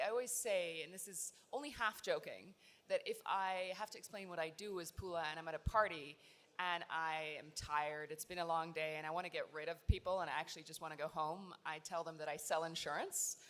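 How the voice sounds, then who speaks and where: A woman's voice: lowish voice, moderate pace. Woman founder speaking on a conference panel. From Tanzania. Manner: relaxed, self-deprecating storytelling with frequent fillers. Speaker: startup founder, panel guest. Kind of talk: conversational panel answer. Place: large loud conference hall.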